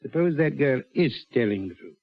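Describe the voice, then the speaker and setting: An actor playing a big, compassionate, persuasive gentleman in 1940s radio acting style. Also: mid-range voice, expressive, normal speed, lightly acted. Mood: earnest, pressing. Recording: dull vintage radio recording.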